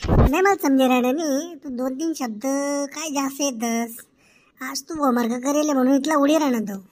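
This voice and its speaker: A high-pitched, cocky cartoon schoolboy played by a woman with a Khandeshi Ahirani accent. He teases and argues, a mischievous village kid who always talks back. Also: normal speed, a little mellow, lightly acted. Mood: teasing. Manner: cocky, teasing, argumentative. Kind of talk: comedy cartoon dialogue.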